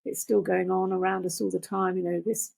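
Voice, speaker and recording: An older woman, a podcast guest, talking reflectively and earnestly in deliberate phrases. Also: on the low side, little pitch movement, creaky, a little mellow. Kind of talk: reflective conversational talk.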